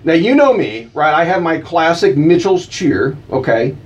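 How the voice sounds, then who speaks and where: A man joking with the host on a radio show, teasing and animated, reading out mock slogans. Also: fairly high pitch, normal speed, recorded from a distance, highs cut off, background noise. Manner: teasing, animated, breaks into chanted cheers. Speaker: guest co-host. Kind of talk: playful radio banter.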